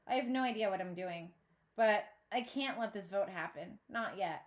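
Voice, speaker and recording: A woman's voice: medium pitch, normal speed. A woman reading a children's novel aloud expressively, like a teacher.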